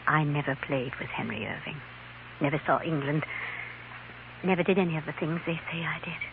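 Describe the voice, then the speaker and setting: A woman's voice: deep voice, brisk. A woman playing an anxious, tipsy stage actress who feigns English gentility, with an affected, theatrical manner, in a 1950s radio western. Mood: tipsy, confessing. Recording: noisy, narrow-band 1950s radio recording.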